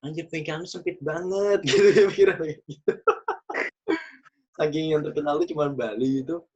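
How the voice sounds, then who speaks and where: A man of about twenty, hosting a casual podcast chat, chatty and quick to laugh. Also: fairly high pitch, mellow. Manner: chatty, laughs often, loose slangy talk. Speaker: podcast host. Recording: dull lo-fi recording.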